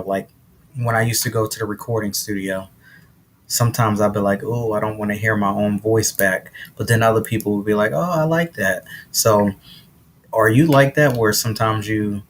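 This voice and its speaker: Low-voiced man hosting a podcast. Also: slight background noise. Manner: relaxed, encouraging, lots of affirming mm-hmms. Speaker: podcast host. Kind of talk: podcast interview.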